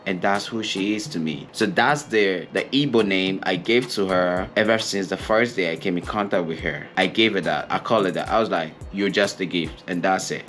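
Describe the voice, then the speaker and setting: Young Nigerian man, deep voice, sincere unhurried delivery, soft music bed. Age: 20s. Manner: earnest, heartfelt, unhurried. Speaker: co-host. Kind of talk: casual chat.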